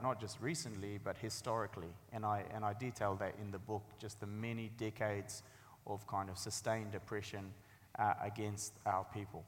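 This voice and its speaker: A man, giving an articulate, storytelling talk about his own life as a guest author. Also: on the low side. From Afghanistan, later New Zealand. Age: 30s. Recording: echoey hall with long reverb.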